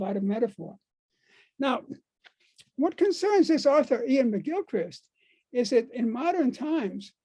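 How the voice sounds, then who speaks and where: An older American man giving an informal talk to a group, speaking haltingly and repeating words and phrases for emphasis. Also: extremely high pitch, mellow, slightly rough. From United States. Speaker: speaker on dream work.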